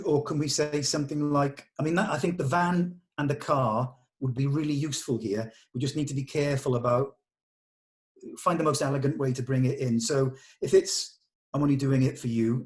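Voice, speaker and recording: A man with a mid-range voice leads an informal creative discussion. He speaks deliberately, thinking aloud as he explains his reasoning and tries out possible lines of dialogue. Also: medium pitch, darkish tone. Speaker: script discussion leader at a table read.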